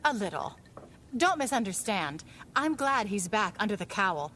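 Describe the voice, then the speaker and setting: An actress playing a loyal woman ally who speaks in a gentle, supportive way in an audio drama. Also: medium pitch, very animated, moderate pace, slight background noise.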